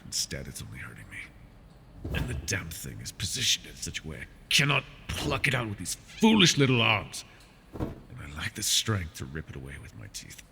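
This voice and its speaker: A man's voice: deep voice, wildly swooping pitch, normal speed, creaky, bright, forceful tone, a little hiss or hum, exaggerated voice. A man voicing a proud, wounded male dragon in an ASMR fantasy roleplay, haughty and scornful while he is tired and in pain. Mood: pained, wary.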